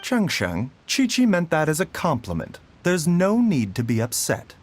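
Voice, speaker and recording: A man voicing a composed male doctor who speaks softly, in a measured, courteous way. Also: on the high side, huge pitch swings, faint music behind. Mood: calm.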